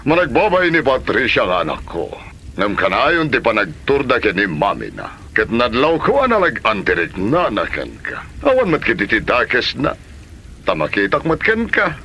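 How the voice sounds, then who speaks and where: A man playing a middle-aged company boss and protective, probing father in a comedy drama, speaking with deliberate authority. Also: mid-range voice, wildly swooping pitch, pushed and bright, a little hiss or hum, exaggerated voice. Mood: probing, serious. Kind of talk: Ilocano comedy drama acting.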